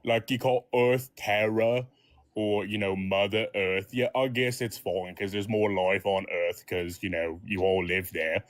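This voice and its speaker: A man's voice: lowish voice, fairly even intonation, a little slow, no echo, clean studio sound, natural voice. A man voicing a folksy, easily offended male Martian fire yeti with a Southern US accent, rambling in a comedy podcast. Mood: grumbling. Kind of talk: comedic improv character.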